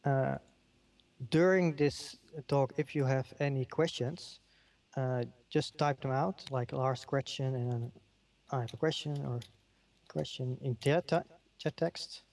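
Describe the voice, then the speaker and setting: A man's voice: mid-range voice, takes it slowly, darkish tone. A middle-aged man from the Netherlands with a Dutch accent, giving a conference keynote with many ums and uhs. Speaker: keynote speaker. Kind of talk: conference keynote in a virtual world.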